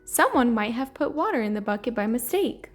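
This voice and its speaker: A woman's voice: fairly high pitch, very animated, a little fast, faint music behind. A woman reading aloud, voicing a polite, earnest young male bear in a storybook.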